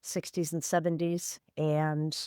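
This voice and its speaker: Low, smooth-voiced woman in her sixties. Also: level tone, takes it slowly, warm tone, no room sound, clean studio sound. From San Francisco, USA. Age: 60s. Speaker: podcast guest. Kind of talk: reflective storytelling interview.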